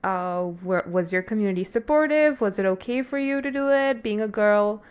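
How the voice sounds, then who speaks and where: A woman's voice: medium pitch, normal speed, a little mellow, smooth. A woman hosting a podcast, asking questions in a casual, upbeat way with lots of "like" and "um". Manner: upbeat, filler-heavy questions. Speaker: podcast host.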